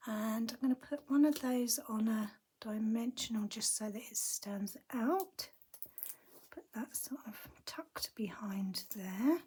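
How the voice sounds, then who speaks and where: A woman teaching a card-making tutorial in a chatty, casual way. Her voice has gone croaky, and she often murmurs half-whispered asides to herself as she works. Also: fairly high pitch, slow, a little mellow.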